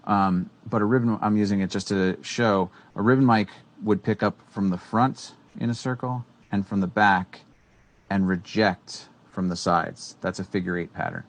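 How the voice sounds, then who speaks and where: A man's voice: lowish voice, moderate pace. A man in his thirties, co-hosting a casual tech talk from a small studio, explaining gear with many fillers and restarts. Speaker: co-host. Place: small studio room with green screen. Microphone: studio microphone on boom arm.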